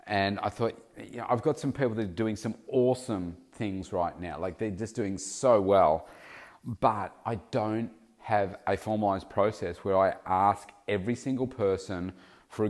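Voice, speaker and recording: A middle-aged man with a somewhat low voice hosts his own podcast as a coach. He sounds a touch nasal from hay fever. He thinks aloud in a loose, casual way, often stopping to correct himself mid-sentence. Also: a little mellow. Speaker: podcast host and weight loss coach. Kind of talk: casual solo podcast talk.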